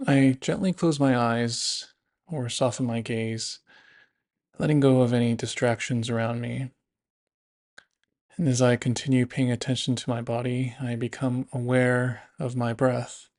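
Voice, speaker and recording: A man narrating a guided body scan meditation in a gentle, unhurried, instructive manner. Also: mid-range voice, flat delivery.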